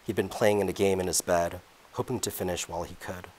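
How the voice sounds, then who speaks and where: A man reads from his own novel at a public event. His voice is very deep, and he takes the prose at a measured, deliberate pace. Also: steady tone, darkish tone. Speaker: author reading his novel. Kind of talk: reading aloud from a novel.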